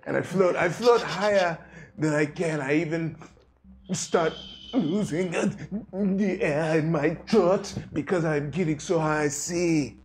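A man playing a tabletop game, describing his character's actions in a rambling, animated way full of "like"s. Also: fairly high pitch, slow. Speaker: player. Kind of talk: tabletop roleplay narration.

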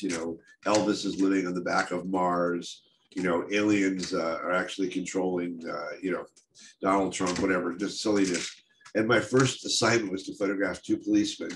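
An older American man with a head cold, talking in a halting, casual way on a video call from his home study, full of restarts and wry asides. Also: low-pitched, noisy background. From United States. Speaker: guest speaker. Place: home office or study, indoors. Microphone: video call webcam.

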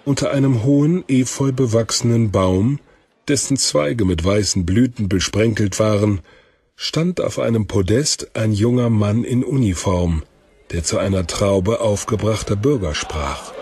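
A man's voice: on the low side, a little slow, darkish tone, husky, no room sound. A man reading scripted narration for a fantasy audio story. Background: faint music.

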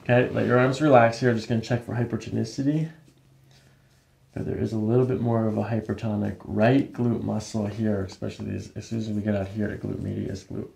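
A man's voice: lowish voice, little pitch movement, a little fast, vocal fry, darkish tone, slight background noise. A man in his thirties, a chiropractor, talking a patient through an exam in a reassuring, matter-of-fact way in a small office. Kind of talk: clinical exam talk, narrating findings to a patient.

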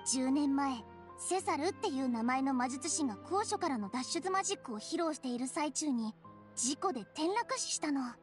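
An excitable young lady reporter for an anime game, high and swoopy, dramatic and nosy. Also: moderate pace, faint music behind. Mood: earnest. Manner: animated, theatrical, inquisitive. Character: eager, dramatic young female news reporter. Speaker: character voice actor.

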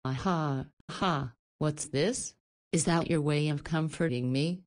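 Deep-voiced, teasing, confident woman. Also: takes it slowly. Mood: playful teasing. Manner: playful, knowing, forthright. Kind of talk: visual novel voice acting.

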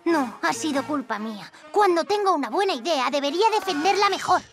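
A small boy superhero in a lizard suit for a children's cartoon, earnest and eager. The voice is very high and swings widely in pitch, with a Castilian Spanish accent. Music plays behind it. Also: expressive, a little fast. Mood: cheerful, earnest. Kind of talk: animated children's cartoon dubbing.

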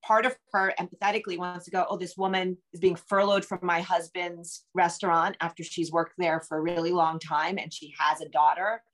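A woman's voice: fairly low pitch, little pitch movement, brisk, hardly any pauses, a bit bright. A middle-aged woman speaking conversationally as an interview guest, a novelist talking from a room at home over a video call.

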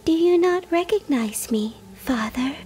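A woman voicing an undead young girl, an eerie and solemn shade speaking slowly and in half whispers, in a dark fantasy audio drama. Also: high voice, lively intonation. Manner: slow, partly whispered, deliberate.

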